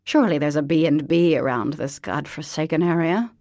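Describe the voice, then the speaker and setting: A woman's voice: deep voice, brisk, darkish tone, no echo, studio quality. A woman voicing a vain, bossy middle-aged society mother in an audiobook, theatrical and dramatic. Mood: haughty. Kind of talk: audiobook character voice.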